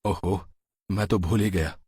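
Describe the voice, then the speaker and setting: Humble, kind-hearted farmer for a cartoon tale, played by a man; husky, earnest, dramatic. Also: low voice, fairly even intonation, measured pace, a little mellow, dry recording, clean studio sound, exaggerated voice. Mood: fond. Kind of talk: cartoon story character voice.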